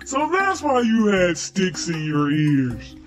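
A woman's voice: on the low side, very animated, takes it slowly, soft background music, voice pushed down. A woman voicing a grave, commanding male lion king in a storybook, with a solemn, declamatory delivery. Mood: amused. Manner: solemn, declamatory, repetitive cadence. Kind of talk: storybook character reading.

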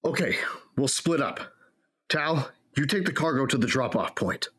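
A man acting as an impulsive young smuggler in a sci-fi audio drama, excitable, defensive and casual, with a husky voice. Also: medium pitch, normal speed. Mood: determined.

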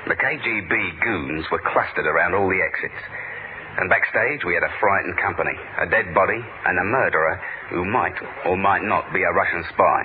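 A man's voice: low voice, normal speed, noisy background, close to an everyday voice. An actor playing a dry, world-weary spy who narrates his case in a sardonic 1970s radio thriller style. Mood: brooding. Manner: deadpan, sardonic. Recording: muffled old radio recording.

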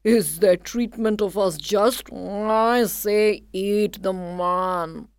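A woman voicing a weary old ox in a storybook tale, speaking low, slow and heavy. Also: clean tone, dry recording.

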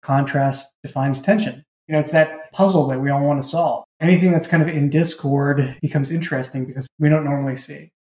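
Mellow man in an echoey room. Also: on the high side, steady tone. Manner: halting, informal phrasing with frequent "like". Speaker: podcast guest, writer. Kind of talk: casual interview talk. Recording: roomy, echoey sound.

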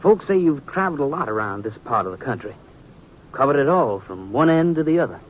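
A man's voice: medium pitch, big pitch swings, close to an everyday voice. Male actor for an earnest young Old West sheriff, reserved and polite, American, muffled radio drama with faint noise. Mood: guarded, probing. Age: young adult. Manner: courteous, reserved, deliberate. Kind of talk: 1950s radio Western acting. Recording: muffled noisy 1950s radio recording.

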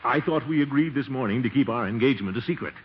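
An actor playing a blustering, flustered middle-aged fiancé who hems and stammers in a 1940s radio comedy. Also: male voice, medium pitch, expressive, fast talker, close to an everyday voice. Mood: gruff, indignant. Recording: dull, very noisy 1940s radio recording.

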